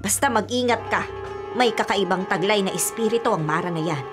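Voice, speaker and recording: A woman playing a wary old grandmother in a radio drama, speaking gravely and with suspicion. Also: mid-range voice, fairly quick, close to an everyday voice. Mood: grave warning. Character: wary, foreboding old grandmother with a sixth sense. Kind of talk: Filipino radio drama acting. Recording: music bed underneath.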